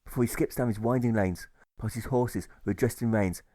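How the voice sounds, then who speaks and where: A man's voice: medium pitch, brisk, warm tone, dry recording. A man reading a rhyming story as scripted narration, with a rhythmic storybook delivery. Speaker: author reading own audiobook.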